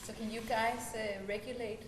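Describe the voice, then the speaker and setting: A young woman from Denmark, a native Danish speaker, talks in casual group chat. The room is echoey, with clear noise and small clicks around her. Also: a little high, little pitch movement, moderate pace. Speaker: focus group participant. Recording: roomy echo, clear background noise, clicks.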